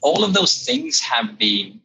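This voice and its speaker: A man's voice: fairly high pitch, wildly swooping pitch, takes it slowly, gravelly, sharp tone, a little hiss or hum, low-quality recording. A man in his thirties with an Estonian accent, a startup founder speaking on a video call, thoughtful and full of "uh" fillers. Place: home room indoors. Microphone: earbuds on a video call.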